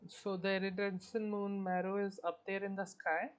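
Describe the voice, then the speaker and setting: A woman streamer giving casual, low-key gameplay commentary, thinking aloud as she plays. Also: level tone, moderate pace. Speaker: game streamer. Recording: faint game noise behind voice. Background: game sound.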